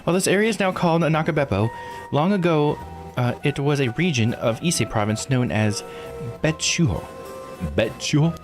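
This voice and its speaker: Man doing an eager, higher-pitched female guide. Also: animated, normal speed, over music, close to an everyday voice. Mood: excited. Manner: bright, informal, enthusiastic.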